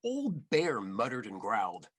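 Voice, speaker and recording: A man reading a children's storybook aloud as an expressive narrator. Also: on the low side, measured pace, husky.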